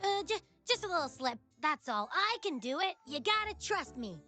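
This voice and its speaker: A woman voicing a boastful, always-hungry childlike little creature companion in a video game, cocky, excitable and whiny. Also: sits high, moderate pace, faint music behind, full character voice. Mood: flustered. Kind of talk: animated video game voice acting.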